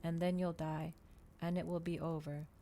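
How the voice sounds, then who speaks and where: A woman's voice: low-pitched, flat delivery, normal speed, dark tone, a little hiss or hum. A woman voicing an anguished, remorseful male vampire with a grave manner in an ASMR storybook reading. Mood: grave, soothing.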